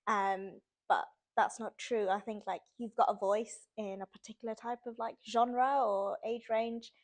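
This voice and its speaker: Chatty young woman, slightly high voice. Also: measured pace. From Sweden. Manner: chatty, animated, lots of "like" fillers. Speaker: author guest. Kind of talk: casual interview chat.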